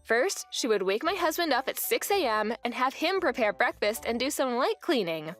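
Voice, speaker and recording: A woman's voice: on the high side, big pitch swings, moderate pace, soft background music. Earnest young woman narrating a manga dub. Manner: earnest, weary storytelling. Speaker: narrator and wife.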